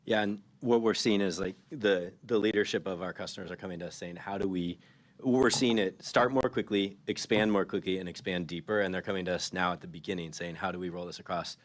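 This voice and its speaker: A man's voice: low voice, steady tone, a little fast. A man speaking as a company executive, explaining things on an earnings call.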